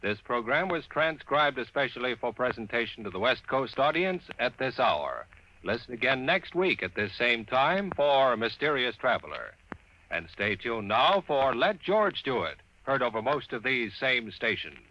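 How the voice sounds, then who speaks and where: A man's voice: medium pitch, very animated, moderate pace. A man giving scripted network announcements in formal 1950s radio style. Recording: muffled, slightly noisy vintage radio.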